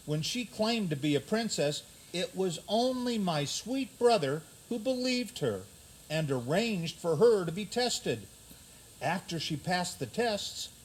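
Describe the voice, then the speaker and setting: Guy doing an earnest storybook prince, really high voice, slow, formal, radio comedy. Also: heavily acted, rough recording. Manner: declamatory, formal. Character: earnest, theatrical prince defending his dear brother. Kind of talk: comic community radio theater.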